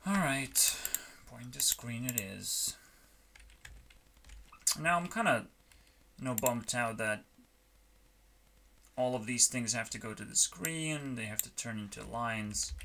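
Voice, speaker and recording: A man rambling casually in a halting, musing way, often trailing off, as if talking through his work on a stream. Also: mid-range voice, animated, vocal fry, bright, forceful tone. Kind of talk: rambling casual chat while coding. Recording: noticeable background noise, keyboard clicks.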